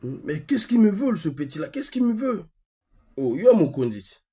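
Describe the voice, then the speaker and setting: A man from Congo with a Congolese accent and a husky voice, speaking insistently as a live-stream host, repeating phrases and asking rhetorical questions. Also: high voice, very animated, moderate pace, dark tone. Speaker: online activist host. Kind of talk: live-stream monologue in colloquial speech, with a phone call.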